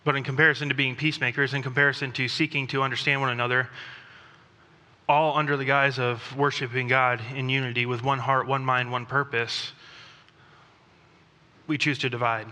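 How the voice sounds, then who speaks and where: Bright-voiced man preaching in a reverberant hall. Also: medium pitch, little pitch movement. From Thailand. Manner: conversational, self-deprecating, with pauses and restarts. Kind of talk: sermon. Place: church sanctuary. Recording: long reverb of a church hall.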